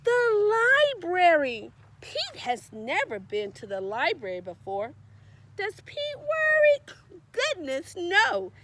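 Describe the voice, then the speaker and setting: A woman's voice: extremely high pitch, wildly swooping pitch, slow, a bit bright, a little hiss or hum. A woman reading a children's storybook aloud in a sing-song, encouraging way, with emphatic repetition and questions to young listeners. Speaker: read-aloud storyteller, librarian.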